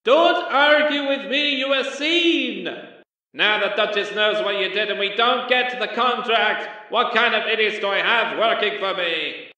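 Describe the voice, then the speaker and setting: A man's voice: sits high, a little slow, sharp tone, heavily acted. A man playing a scheming, short-tempered cartoon villain boss, menacing and sarcastic, with an echoing megaphone effect. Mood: furious. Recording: echoing, distant megaphone-style sound.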